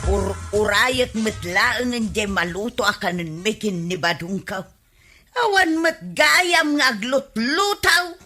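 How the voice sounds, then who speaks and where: A woman's voice: fairly high pitch, animated, takes it slowly, bright, forceful tone, soft background music, full character voice. A woman playing an irritable, complaining village wife in a comedy radio drama, with an Ilocano accent. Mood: grumbling.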